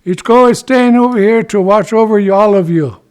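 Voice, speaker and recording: Folk-story hero, voiced by a male teller: eager boastful young warrior type, high swooping pitch, coaxing and exclaiming. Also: expressive, measured pace, a little mellow.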